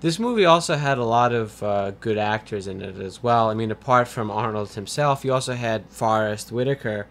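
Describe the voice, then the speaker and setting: A man co-hosting a review show, giving conversational criticism in a measured, analytical, wordy manner, with noise behind him. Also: lowish voice. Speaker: film review show co-host. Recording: clear background noise.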